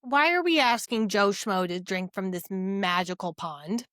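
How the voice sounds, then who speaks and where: A woman's voice: mid-range voice, unhurried, fairly bright tone, no echo, studio quality. A woman co-hosting a podcast, chatting casually about a book in a blunt, incredulous, emphatic manner. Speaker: podcast co-host.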